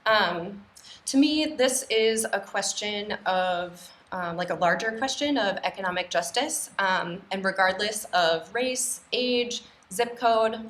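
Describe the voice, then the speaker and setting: A woman's voice: mid-range voice, fairly bright tone. An American woman giving a candidate's answer at a public forum in a studio. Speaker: city council candidate. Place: local TV studio. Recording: roomy studio sound.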